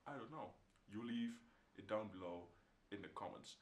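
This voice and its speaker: A young man with a Dutch accent talks through a whiskey at home, relaxed and repeating himself, now and then hushing to a whisper as he sips. His voice sits a bit low. The camera mic picks up a lively, echoey room around him. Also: moderate pace. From Netherlands. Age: young adult. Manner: relaxed, repeats words, drops to a whisper while tasting. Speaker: whiskey reviewer. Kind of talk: casual review to camera. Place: indoor home room, seated in armchair.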